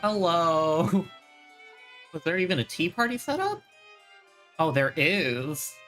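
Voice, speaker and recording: A woman's voice: lowish voice, sing-song, slow pace, a bit bright, faint music behind. A woman live streamer giving casual, informal, reactive commentary while she plays a game.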